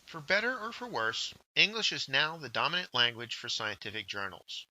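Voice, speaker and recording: A man presenting a scripted instructional workshop, with deliberate, emphatic phrasing. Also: mid-range voice, big pitch swings, bright, forceful tone, dry recording, low-quality recording. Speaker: workshop presenter, journal editor.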